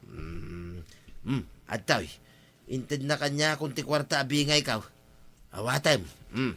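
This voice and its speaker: Forceful, wheedling village husband, Ilocano accent. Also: male voice, medium pitch, slow. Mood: earnest, contrite. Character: wheedling village husband, a drinker seeking credit. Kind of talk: Ilocano radio drama acting.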